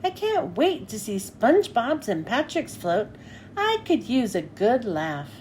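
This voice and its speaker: A woman's voice: on the high side, very animated, a little slow, a little mellow, slight background noise. A woman voicing a grumpy, sarcastic male squid with a dry, sneering manner. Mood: smug.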